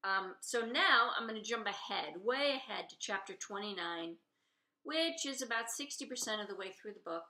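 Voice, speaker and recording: A woman's voice: medium pitch, animated, normal speed, bright, forceful tone. A woman author reading her children's novel aloud expressively, with informal asides. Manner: openly fond of the characters. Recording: roomy, echoey room sound.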